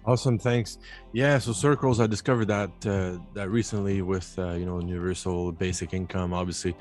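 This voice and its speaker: Low-voiced man hosting an interview. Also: steady tone, brisk, darkish tone, soft background music. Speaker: show host. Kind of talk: casual interview, channel intro. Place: small home office, indoors, video call. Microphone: desk microphone close in front.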